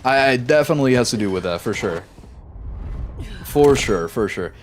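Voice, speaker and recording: A young man live-streaming a shooter game, chatting casually with his viewers and reacting quickly during fights. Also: medium pitch, expressive, a little hiss or hum. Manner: easygoing, chatty, reads chat aloud, quick reactions mid-fight. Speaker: gaming streamer. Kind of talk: casual live-stream chat while playing.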